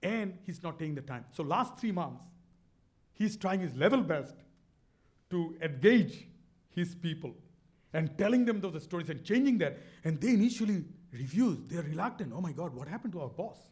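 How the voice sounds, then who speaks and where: A man's voice: high-pitched, lively intonation, normal speed, darkish tone. A middle-aged man with a Bangladeshi accent, telling a motivational story in an earnest, emphatic way, often repeating words. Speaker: speaker on a stage talk.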